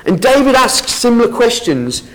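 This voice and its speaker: A man's voice: extremely high pitch, very animated, normal speed, a bit bright, poor audio. A man giving a Bible talk to a congregation, earnest and explanatory, often restarting his sentences. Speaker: lay preacher. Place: meeting room with an audience.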